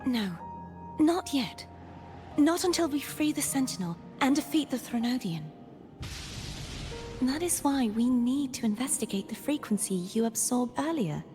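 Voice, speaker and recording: A woman playing a solemn, resolute young female warrior in a video game, speaking in an earnest, measured, breathy way. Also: sits high, lively intonation, mellow, faint music behind. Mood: serious.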